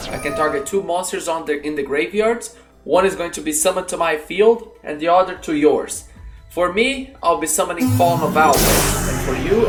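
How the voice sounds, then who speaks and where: A man's voice: fairly high pitch, lightly acted. A man playing a confident card duelist in a fan roleplay, announcing each move formally and theatrically. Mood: confident, calculating. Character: confident male card duelist, chanting dramatic summons. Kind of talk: fan roleplay anime-style duel acting. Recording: roomy echo under music.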